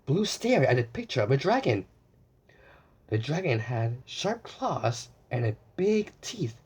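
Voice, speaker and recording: Kids story reader, super animated swoopy pitch, bit husky, stumbles over words here and there. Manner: halting, with stumbles and repeated words; exclaims with exaggerated wonder for children. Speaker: storyteller and host reading a picture book aloud. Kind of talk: children's read-aloud, addressing young viewers with questions.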